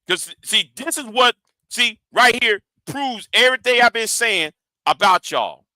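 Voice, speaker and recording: A man's voice: very high voice, lively intonation, a little slow, sharp tone, dry recording. A man ranting in a commentary vlog. He talks confrontationally and swears a lot, repeats and restarts his words, and challenges his audience directly. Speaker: YouTube commentator.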